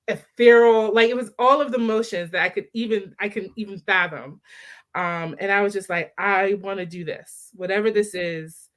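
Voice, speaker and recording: A woman in her thirties from the Baltimore area, a guest talking animatedly and laughing as she tells her story over a laptop video call. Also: mid-range voice. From Baltimore area, Maryland. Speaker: guest, maternal health director. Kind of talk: casual interview chat.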